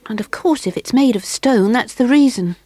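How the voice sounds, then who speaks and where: A woman voicing a proud, cold-hearted little girl in a storybook, high and prim. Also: animated, moderate pace, warm tone, no room sound. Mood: grudging.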